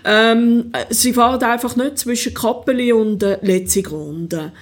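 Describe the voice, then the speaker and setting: A woman from Switzerland with a Swiss German accent, a city council member answering interview questions in a relaxed, hesitant way with many fillers. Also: on the high side, measured pace. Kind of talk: casual interview.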